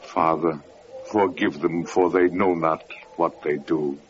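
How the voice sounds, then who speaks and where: An actor playing a gentle, pious old gatekeeper with solemn, meek archaic speech in an old-time radio drama. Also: male voice, deep voice, fairly even intonation, slow pace. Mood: gentle, humble. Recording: muffled, noisy vintage radio recording.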